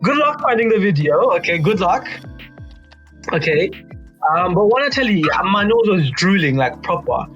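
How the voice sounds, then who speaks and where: A young man with a British accent and a notably high voice tells a casual story. He is slangy and animated, repeating phrases and tagging them to check his friends follow. Music plays behind him. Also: moderate pace, dull audio. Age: young adult. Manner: animated slangy storytelling, repeats himself, seeks agreement with tags. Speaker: youth advisory board member on a podcast. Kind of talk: casual anecdote among friends.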